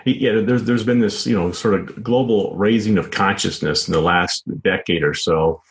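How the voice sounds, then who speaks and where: Man, American, deep pitch, rough husky tone, interview guest, explanatory, halting and repetitive. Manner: halting, repetitive phrasing with many "you know" and "sort of" hedges. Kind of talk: informal explanatory interview answers.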